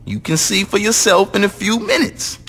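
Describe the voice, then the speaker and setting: High, jittery young gangster. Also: male voice, normal speed, a bit bright. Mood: casual. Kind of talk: video game cutscene acting. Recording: noisy background over voice.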